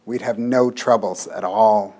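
A man's voice: lowish voice, steady tone, a little slow, warm tone. Smug, provocative husband, middle-aged, given to lecturing, from a short story, read by a male author. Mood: trailing, smug.

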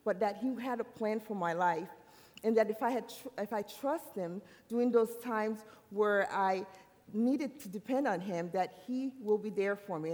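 A woman from Haiti giving a personal faith testimony at a university worship service, earnest and halting, often restarting her sentences. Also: medium pitch, a little mellow. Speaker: worship speaker. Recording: long hall reverb.

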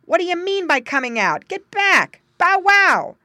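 Woman voicing a barking farm dog, loud, bossy, bright dry tone. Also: very high-pitched, sing-song, unhurried, dry recording, pitched above the performer's own voice, heavily acted. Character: big kind-hearted farm dog, gruff barking.

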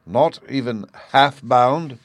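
A man's voice: mid-range voice, takes it slowly, no echo, voice pushed up, natural voice. A man doing an absent-minded old professor. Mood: fussy. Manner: dreamy, fussy, distracted.

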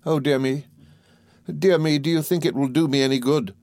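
A man's voice: medium pitch, expressive, moderate pace, a little mellow, no room sound, pitched above the performer's own voice, natural voice. A man voicing a timid, helpless young mother in an audiobook, with a meek, faltering, plaintive manner. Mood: helpless, trembling. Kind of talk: audiobook character voice.

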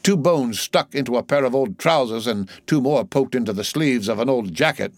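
A man's voice: mid-range voice, lively intonation, normal speed, no room sound. A man voicing a crazed ship's boy who chants eerie nonsense in a repetitive singsong, in a storybook reading. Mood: crazed, playful.

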